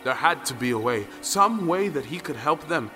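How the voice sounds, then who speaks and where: A man's voice: fairly high pitch, lively intonation, moderate pace, sharp tone, big-room sound. A man narrating a storybook audio play in a dramatic style. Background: faint music.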